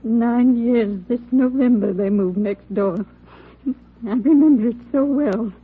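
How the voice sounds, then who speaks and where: An actress playing a grieving, fragile neighbor who speaks haltingly to police in an old radio drama. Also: female voice, a little high, breathy edge. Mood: subdued, sorrowful. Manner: halting, mournful, repeating herself. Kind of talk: 1950s radio drama acting. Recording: muffled, hissy old radio recording.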